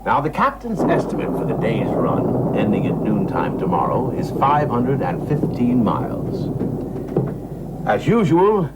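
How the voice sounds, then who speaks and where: An actor playing a brisk, formal male auctioneer in a television drama, projecting and repeating figures in auction patter. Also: medium pitch, very animated. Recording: noisy old TV soundtrack.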